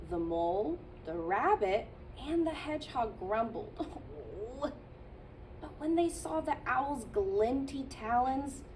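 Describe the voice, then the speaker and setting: A childcare teacher, a woman, reading a picture book aloud to children in a gentle storytelling manner with playful asides. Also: medium pitch, far from the mic, slight background noise, poor audio.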